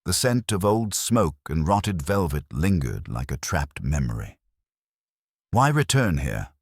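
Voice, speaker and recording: A man reading a scripted detective mystery in a measured, suspenseful storytelling manner. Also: rumbling low, expressive, husky, no room sound. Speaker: audiobook narrator. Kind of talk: scripted dramatic mystery narration.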